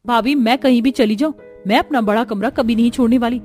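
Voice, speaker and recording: Stubborn young cartoon sister-in-law voiced by a woman, higher-pitched, possessive attitude. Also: fast talker, a little mellow, soft background music, close to an everyday voice. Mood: defiant.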